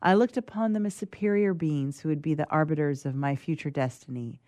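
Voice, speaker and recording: A performer reading as Frankenstein's monster, a gentle, hopeful creature, in a formal, lyrical literary style. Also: mid-range voice, brisk, no echo, natural voice. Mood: earnest, hopeful. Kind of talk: dramatic reading of classic novel.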